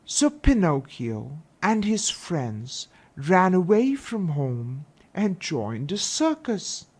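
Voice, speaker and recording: A woman storyteller reads a children's fairy tale. Her voice sits a little low and moves through wide, lively intonation. She takes her time, phrasing each part deliberately. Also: breathy edge.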